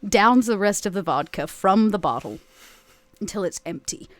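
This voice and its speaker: A woman's voice: medium pitch, expressive, normal speed, no echo. A woman playing a tabletop game, casually describing her character's actions as she improvises. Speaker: tabletop player.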